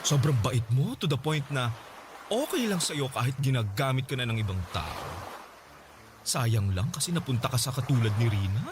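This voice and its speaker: A man's voice: medium pitch, animated, normal speed, noisy background, close to an everyday voice. A man playing a frank, flirtatious wealthy man in a Tagalog radio drama, teasing and drawling with bits of English mixed in. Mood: sympathetic, frank. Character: frank, flirtatious wealthy man advising his paid lover.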